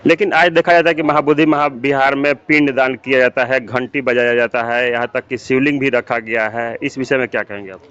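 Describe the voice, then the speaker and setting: A man's voice: medium pitch, steady tone, a little fast, few pauses, fairly bright tone, clean tone. A man working as a news interviewer, putting questions to people in a street interview on a dull recording. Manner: frequent short affirming interjections while listening. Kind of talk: street interview at a protest sit-in. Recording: dull, lo-fi audio.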